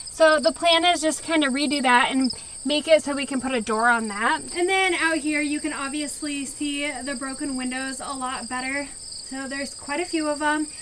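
A woman's voice: high voice, fairly bright tone, a little hiss or hum, poor audio. A woman in her twenties from Iowa, chatting casually as she vlogs while walking through an old farm barn. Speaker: vlogger. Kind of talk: casual vlog narration. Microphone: handheld camera close to the face.

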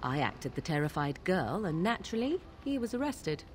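Haughty, low-voiced young witch. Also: big pitch swings, normal speed, a little hiss or hum. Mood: proud, reflective. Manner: archaic, formal, disdainful phrasing. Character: young witch of the wilds, haughty, sardonic, proud. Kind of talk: video game dialogue acting.

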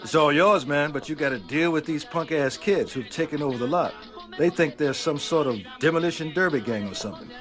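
A man's voice: on the high side, expressive, brisk, a bit bright, soft background music. An actor playing a casual, sarcastic male drive-in theater owner.

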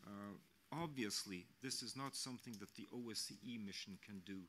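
An older man speaks earnestly into a desk microphone at a panel, his voice bright and forceful. He picks his words with care and often restarts mid-sentence. A faint hum of the hall sits behind him. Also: on the low side. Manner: measured, earnest, with frequent restarts and fillers. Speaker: international mission official on a panel. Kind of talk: unscripted panel remarks. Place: press center hall.

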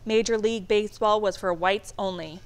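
A woman reading a children's storybook aloud in a measured, expressive storytelling manner. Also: medium pitch, clean tone. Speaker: storybook reader. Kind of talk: read-aloud children's book narration.

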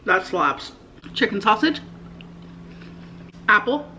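A woman's voice: mid-range voice, big pitch swings, slow pace, bright, forceful tone, a little hiss or hum. A young adult American woman vlogging in a casual, slangy way, talking to camera at home. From United States. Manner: informal, slangy, frequent "like". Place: indoor living room at home.

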